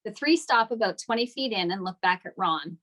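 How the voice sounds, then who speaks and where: A woman serves as narrator at a script table read, reading out the action in scripted narration. Her voice is mid-pitched. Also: expressive, a little fast, fairly bright tone. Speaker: narrator of stage directions.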